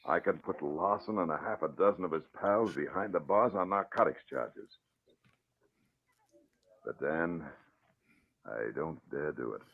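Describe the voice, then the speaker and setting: A troubled older police commissioner speaks bluntly in an extremely low, creaky, husky voice. The style is vintage 1940s radio drama, heard through a muffled, narrow old broadcast recording. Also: male voice, animated, unhurried, pauses often, full character voice. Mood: bitter, defeated. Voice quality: gruff, gravelly.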